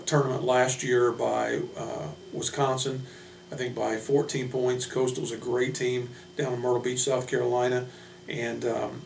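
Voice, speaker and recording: Guy coach talking about his team, pretty flat voice, halting and unscripted, echoey room w/ hum. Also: medium pitch, gravelly. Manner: halting, plain-spoken coach talk. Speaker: college basketball head coach. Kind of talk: unscripted team roster introduction. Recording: roomy, distant mic, noisy hum.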